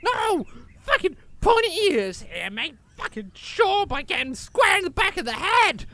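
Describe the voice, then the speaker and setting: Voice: very high-pitched, very animated, unhurried, bright, forceful tone, no echo, full character voice. An actor playing a hot-tempered, foul-mouthed but loyal little gnome with a British accent, brash and blurting, in a comic fantasy audio drama. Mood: furious.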